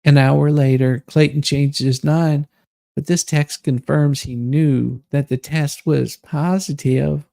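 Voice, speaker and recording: A man voicing an aggrieved, self-righteous woman in a theatrical dramatic reading, with a husky voice and deliberate, indignant manner. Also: on the high side, fairly even intonation, dark tone, no room sound, clean studio sound. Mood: aggrieved, recounting.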